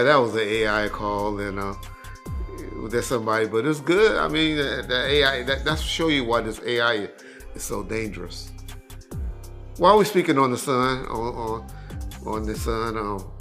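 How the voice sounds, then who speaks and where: A man's voice: a little high, fairly bright tone. A man giving loose, informal commentary, chuckling as he talks and often restarting his sentences. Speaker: commentator on a podcast clip. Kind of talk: casual spoken commentary.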